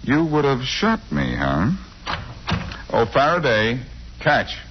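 An actor playing a cool, wisecracking detective with a glib, teasing manner in 1940s radio drama style. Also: male voice, medium pitch, wildly swooping pitch, slow pace, slight background noise. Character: wisecracking, cool amateur detective, suave and flippant. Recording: muffled old-time radio recording.

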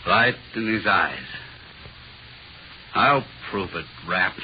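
An actor playing a gruff, brutal police interrogator in a 1940s American radio drama, threatening and slangy. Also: male voice, deep voice, expressive, takes it slowly. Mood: menacing. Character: menacing, brutal police interrogator, hard-boiled tough cop. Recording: muffled, hissy 1940s radio recording.

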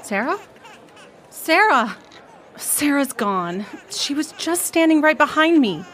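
A woman's voice: high voice, very animated, takes it slowly, slight background noise, full character voice. A woman acting as an anxious, loving mother in an audio drama, blunt but tender. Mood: panicked. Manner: frayed and blunt, softening to tender.

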